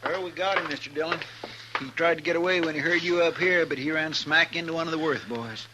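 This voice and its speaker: Eager, higher-voiced western deputy played by a man. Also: fast talker, dull audio, lightly acted. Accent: American. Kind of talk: 1950s radio drama acting. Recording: noisy old radio recording.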